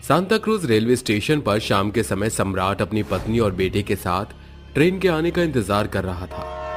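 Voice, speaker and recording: Low-voiced man narrating a cartoon story.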